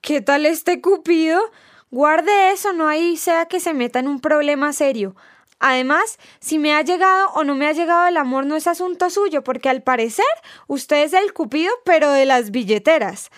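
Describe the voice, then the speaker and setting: A woman's voice: high voice, normal speed, fairly bright tone, no echo, clean studio sound. A woman with a Colombian accent presenting a scripted radio sketch in an indignant, sardonic, scolding way.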